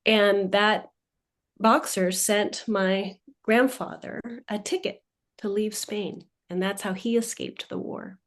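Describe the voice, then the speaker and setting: A woman in her forties who is a coach and a guest on an interview, telling her family story in a thoughtful, measured way. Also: mid-range voice, fairly even intonation. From United States. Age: 40s.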